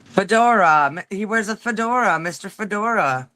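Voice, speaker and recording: A man's voice: high-pitched, lively intonation, normal speed, pushed and bright, no room sound, rough recording. A man joining a live stream as a guest, chatting casually and repeating the host's words back. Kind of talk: casual chat.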